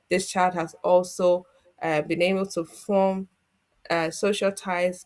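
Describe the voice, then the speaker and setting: A woman with a slightly low voice talks through legal advice in a steady, methodical way. She sounds like a solicitor guiding viewers through a process. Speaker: immigration solicitor guest. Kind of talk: explaining legal advice on a community TV show.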